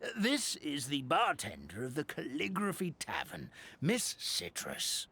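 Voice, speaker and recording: Bright, wildly animated old grandpa. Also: male voice, fairly high pitch, unhurried, creaky, slight background noise, exaggerated voice. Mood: relieved, flustered. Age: older. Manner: fretful, courtly, exclamatory. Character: fussy, kindly old grandpa tavern keeper, flustered. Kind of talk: video game voice acting.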